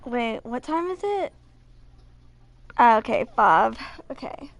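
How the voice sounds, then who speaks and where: A woman in her twenties giving casual, rambling gameplay commentary, sometimes halting over her words. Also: on the high side, very animated, dry recording. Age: 20s. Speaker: video creator.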